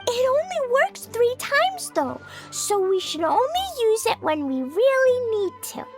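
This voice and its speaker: A woman's voice: very high voice, sing-song, a little slow, exaggerated voice. A woman voicing an eager, cheerful little girl in a video game. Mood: excited. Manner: bubbly, eager, childlike. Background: game music.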